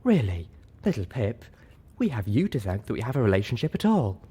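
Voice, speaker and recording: Storybook unicorn lady, voiced by a man: refined, prim, smooth, composed. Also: on the low side, sing-song, a little fast, dark tone, full character voice.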